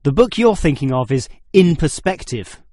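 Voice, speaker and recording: A man acting a critical, precise university student in a scripted exam dialogue, speaking deliberately. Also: fairly high pitch, expressive, dark tone, no echo, studio quality. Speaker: student in a listening test dialogue.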